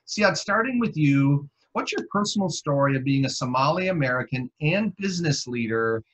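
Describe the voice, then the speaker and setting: A man's voice: medium pitch, fairly bright tone. A man moderating a webinar panel, reflective, thinking aloud as he sets up questions. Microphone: video call. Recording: dull lo-fi video call audio.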